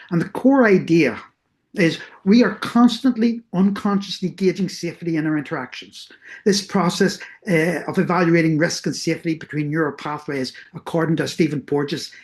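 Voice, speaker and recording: A middle-aged therapist with a Northern Irish accent giving an academic workshop presentation in an earnest, explanatory way, often pausing to correct themselves. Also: mid-range voice. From Northern Ireland. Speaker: therapist presenting doctoral research.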